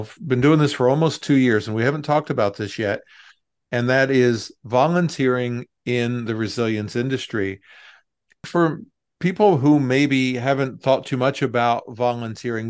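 A man hosting a podcast, speaking in an interview and introduction style. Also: mid-range voice. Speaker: podcast host.

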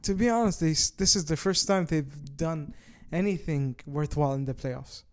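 Laid-back young man, slightly higher voice. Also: normal speed, darkish tone. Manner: laid-back, slips Arabic fillers into English. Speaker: podcast co-host. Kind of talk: casual sports chat.

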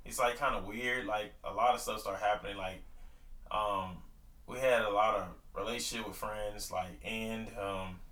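A man's voice: lowish voice, fairly even intonation, moderate pace, pushed and bright, distant mic. Young man rambling with fillers, echoey room. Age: 20s. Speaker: podcast co-host. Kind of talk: casual faith-based couple talk.

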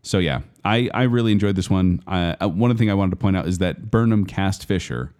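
A grown man co-hosting a casual movie review podcast, animated and quick to laugh. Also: low-pitched. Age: adult. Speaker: podcast co-host.

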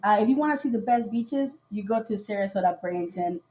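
Mellow-voiced chatty woman from Costa Rica. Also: medium pitch, brisk. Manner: chatty storyteller who acts out quoted dialogue. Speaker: podcast guest. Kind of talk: casual chat on a livestream.

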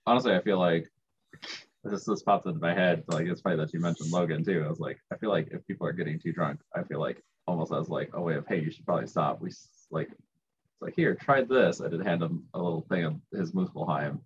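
Chill low guy cohosting, swears a lot, muffled lo-fi mic w/ light hiss. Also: brisk. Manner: relaxed, profane, laughing. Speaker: podcast co-host. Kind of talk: casual chat. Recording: dull lo-fi mic with faint hiss.

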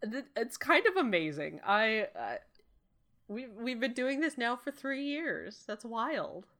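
Co-host: young woman, unhurried delivery, bright tone, giggly and playful. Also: a little high, sing-song. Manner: laughs often, playful quips. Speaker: podcast co-host. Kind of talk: casual podcast chat.

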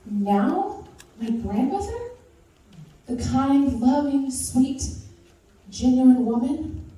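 A woman giving a dramatic motivational speech, telling her story in short, deliberate phrases with pauses. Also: high voice, expressive, dark tone. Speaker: conference speaker. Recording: very echoey hall from far off, faint noise.

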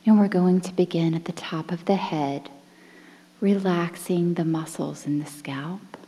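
Female meditation guide, deep and mellow, soft breathy delivery, bathed in long reverb. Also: fairly even intonation. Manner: soothing, breathy, near-whispered, measured phrases with pauses. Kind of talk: guided relaxation meditation, softly spoken. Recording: long reverberant hall-like echo.

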